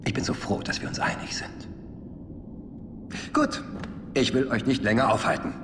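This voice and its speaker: A man playing a flamboyant, menacingly polite tyrant king in a video game, theatrical and mock-courteous, with drawn-out phrases and sudden pauses. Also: medium pitch, roomy sound, in a large hall, noisy background, lightly acted. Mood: silky menace. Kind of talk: video game cutscene acting.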